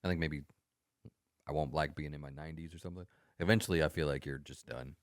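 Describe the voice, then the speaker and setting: A man's voice: rumbling low, steady tone, fast talker, dry recording, studio quality. A man in his thirties, co-hosting a casual podcast chat in a laid-back way, with lots of fillers and swearing. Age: 30s. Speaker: podcast co-host.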